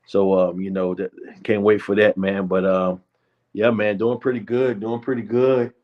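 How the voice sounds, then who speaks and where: A man's voice: lowish voice, flat delivery, moderate pace. A man chatting on a podcast in a laid-back, rambling way, full of fillers. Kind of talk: casual podcast chat. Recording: dull lo-fi sound.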